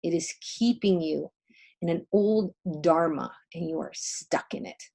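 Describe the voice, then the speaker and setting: A woman with a slightly low voice, a native Spanish speaker. She co-hosts a casual spiritual healing livestream, giving readings conversationally, with emphatic phrasing and pauses for effect. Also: creaky, warm tone.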